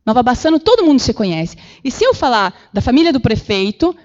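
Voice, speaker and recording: A woman giving an animated public lecture with slides in a council chamber, acting out the voices she quotes. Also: a little high, a little fast, muffled recording. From Brazil. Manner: animated, acts out quoted voices, many fillers. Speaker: guest lecturer.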